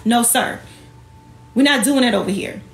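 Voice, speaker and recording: A woman's voice: fairly high pitch, normal speed, reverberant, faint music behind. A woman speaking emphatically and colloquially to her online followers, sassy and confrontational, firing off rhetorical questions in an impassioned livestream talk. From Jamaican heritage. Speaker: online host and women's empowerment speaker.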